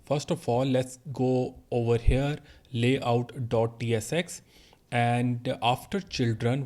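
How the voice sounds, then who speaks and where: A man presenting an unscripted software tutorial, explaining things step by step as he goes. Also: medium pitch. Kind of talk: unscripted screen-recorded tutorial narration.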